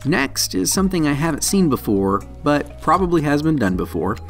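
A man's voice: a little high, big pitch swings, normal speed, vocal fry. A man in his thirties, a channel host, narrating a woodworking build in a plain, practical and self-deprecating way. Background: soft guitar music.

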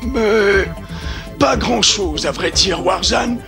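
A man's voice: sits high, slow, creaky, over music, exaggerated voice. A man voicing an old, forgetful, easygoing talking elephant in a French cartoon dub. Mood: easygoing, gossipy.

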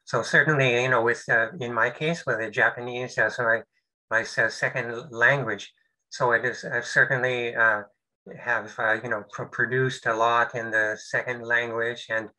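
An older man presents over a video call. His voice sounds very bright and forward, and he talks in halting phrases full of fillers and restarts. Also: mid-range voice, steady tone, low-quality recording. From United States. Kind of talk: webinar presentation.

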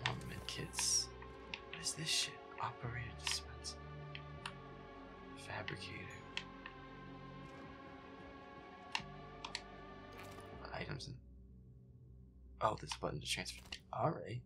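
A man's voice: medium pitch, very animated, moderate pace, creaky, long pauses, loud music behind the voice. A man playing a feisty, foul-mouthed werewolf boyfriend who mutters sarcastic commentary while gaming. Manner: sarcastic, profane, muttering to himself. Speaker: gamer playing a scary game. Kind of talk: roleplay audio gameplay commentary, muttering.

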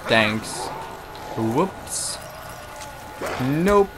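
Slow-talking young man rambling over gameplay. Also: medium pitch, very animated. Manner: rambling, self-mocking, talks to the game. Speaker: gameplay commentator. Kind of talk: casual gameplay commentary. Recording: noisy game audio behind.

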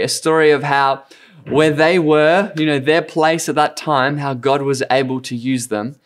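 A man's voice: fairly high pitch, fairly bright tone. A young man speaking as a pastor, introducing a message in a conversational way. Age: 20s to 30s. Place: indoor stage before a large screen.

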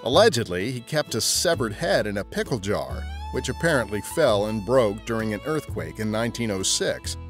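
A man's voice: lowish voice, expressive, moderate pace, hardly any pauses, faint music behind. A man hosting a history podcast, reading scripted narration in a wry storytelling manner.

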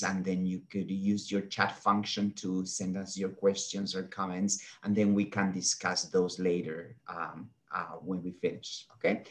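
A man, an associate professor, introducing himself and colleagues on a video call, hesitant with many fillers. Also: on the low side. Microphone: laptop webcam video call.

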